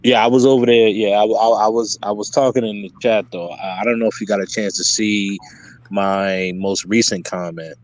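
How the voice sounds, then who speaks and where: A man's voice: on the low side, steady tone, normal speed. A man appearing as a guest on a livestream, explaining his views hesitantly with many fillers. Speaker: guest content creator. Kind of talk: casual interview talk.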